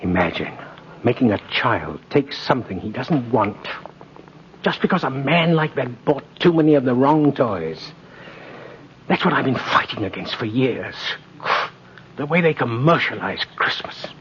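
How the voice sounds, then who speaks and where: An actor playing a kindly, principled old department-store Santa Claus in a 1950s radio drama, gently insistent and old-fashioned in manner. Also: male voice, mid-range voice, animated, measured pace. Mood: indignant, resolute. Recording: dull, noisy old radio recording.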